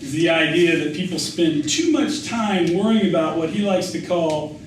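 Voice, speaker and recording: A man reading a literary memoir aloud as its author, with a measured storytelling delivery. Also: on the high side, expressive. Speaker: author reading his essay. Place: indoor public reading. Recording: echoey room, distant mic, faint noise.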